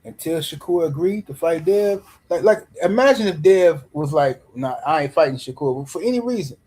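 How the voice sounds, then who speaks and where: A man's voice: on the high side, lively intonation, moderate pace, dark tone, slightly rough. A man hosting a boxing livestream in casual commentary, loose and teasing, rambling and repeating words as he reads chat messages. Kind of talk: casual live-stream commentary.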